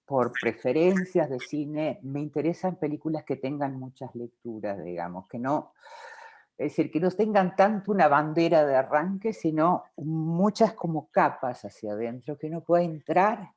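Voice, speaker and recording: A woman with a Rioplatense Spanish accent talks as a film director in an interview. Her pitch rises and falls a lot as she thinks aloud. She circles back and restarts her sentences. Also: expressive, moderate pace, a little mellow. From Uruguay. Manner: reflective, with frequent fillers and restarts. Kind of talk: interview answers.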